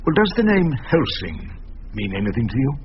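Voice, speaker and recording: Wry, expressive older English barrister played by a man. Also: mid-range voice, lightly acted. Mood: probing. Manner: theatrical, deliberate, rhetorical. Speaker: lead actor and narrator. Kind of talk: radio drama acting and first-person narration. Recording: dull radio recording with background noise.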